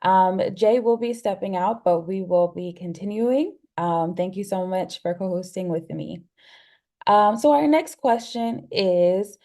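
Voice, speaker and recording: A woman's voice: fairly low pitch, warm tone. A woman moderating a webinar panel over a video call, upbeat and polite.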